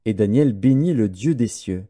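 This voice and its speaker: Guy narrating bible audiobook, really dark soft tone, animated pitch, measured and formal. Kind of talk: scripted scripture narration.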